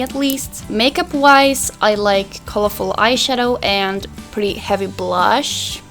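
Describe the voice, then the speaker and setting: A woman's voice: mid-range voice, fairly bright tone. A young woman from Finland, a native Swedish speaker, chatting casually through a makeup tutorial while answering viewer questions in a small bedroom. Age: young adult. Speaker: beauty vlogger. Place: small pastel bedroom, indoors.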